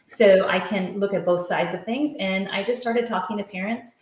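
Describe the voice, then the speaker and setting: A middle-aged woman talks with earnest conviction, spinning long run-on sentences as she explains her views. Her voice sits at an ordinary pitch for a woman. The room around her is noticeably echoey. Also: normal speed, a little mellow. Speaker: author and education coach. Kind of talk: podcast interview.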